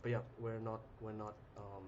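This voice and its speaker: A young man hosting a live trading stream, chatting casually with viewers in a relaxed, rambling way with many ums and restarts. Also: fairly low pitch, normal speed. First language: English. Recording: muffled lo-fi audio with clear noise.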